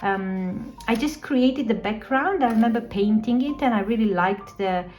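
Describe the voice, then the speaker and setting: A woman whose first language is Romanian, talking casually and reflectively through her art journal pages, with many pauses and ums. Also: a little high, a little mellow, soft background music.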